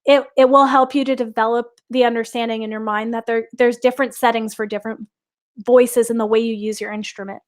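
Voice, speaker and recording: A young woman teaching a live online singing class, chatting upbeat with viewers and answering their questions. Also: sits high.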